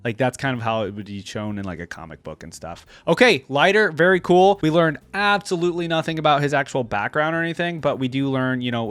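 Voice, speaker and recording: A man's voice: on the high side, a bit bright, clean tone, no echo. A man giving casual, enthusiastic, slangy reaction commentary as host of a reaction video, with trailer music behind him. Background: game trailer music.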